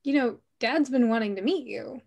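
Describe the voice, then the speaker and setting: A woman acts out a confident young woman in an animated film story. Her voice sits fairly high, and she speaks directly with a playful, teasing edge. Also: moderate pace, creaky. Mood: coy, confident. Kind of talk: table read of an animated film script.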